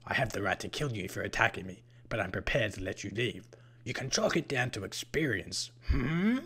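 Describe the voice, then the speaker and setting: Man voicing a husky, low, menacing monster. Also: animated, a bit bright, heavily acted. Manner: odd, deliberate way of talking with drawn-out phrases. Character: menacing, haughty purple-skinned vampire-like killer, male, unhurried.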